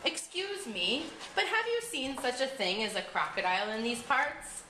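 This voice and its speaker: A woman's voice: fairly high pitch, sing-song, moderate pace, echoey room, noisy background. A woman voicing a polite, endlessly curious little elephant child in a storybook reading. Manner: very polite, wide-eyed questioning.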